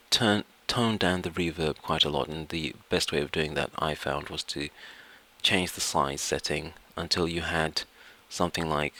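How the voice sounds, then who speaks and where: A man narrating an informal software tutorial step by step, hesitating and repeating himself as he goes. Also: a bass voice. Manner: hesitant, repetitive, explains step by step. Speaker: tutorial presenter.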